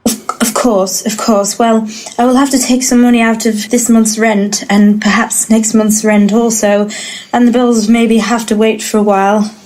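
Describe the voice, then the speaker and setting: An actress playing a polite, fluttery, easily cowed householder in a comedy audio drama. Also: female voice, a little high, level tone, normal speed, a little mellow, lightly acted, rough recording. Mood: meek, flustered. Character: grateful, timid, easily cowed female householder.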